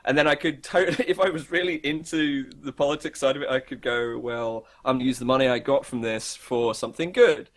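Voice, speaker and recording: A man's voice: mid-range voice, fairly quick, hardly any pauses. A man in a casual, opinionated discussion as a podcast host, thinking aloud in a rambling, informal way and acting out imagined dialogue.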